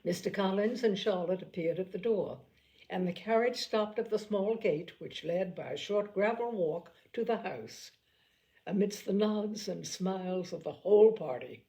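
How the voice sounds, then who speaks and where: A woman reading a classic novel aloud in a measured storytelling manner, now and then correcting a slipped word. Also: medium pitch, mellow. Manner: measured storytelling, occasional slips and self-corrections. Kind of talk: audiobook narration.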